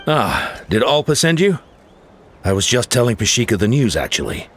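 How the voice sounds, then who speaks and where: A man's voice: mid-range voice, big pitch swings, moderate pace, fairly bright tone. A man playing a seasoned warrior boss in a video game, frank and persuasive. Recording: music playing behind the voice.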